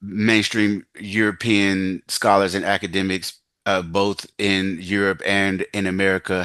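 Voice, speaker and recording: Deep-voiced, casual male interviewer. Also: pushed and bright, dry recording. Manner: casual, halting, many fillers. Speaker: host. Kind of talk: conversational interview.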